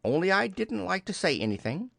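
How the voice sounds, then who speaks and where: A man voicing a brisk, fussy, stern storybook rabbit in a clipped, proper manner. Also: sits high, sing-song, creaky, a bit bright, dry recording, studio quality, voice pushed up, heavily acted. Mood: reproachful.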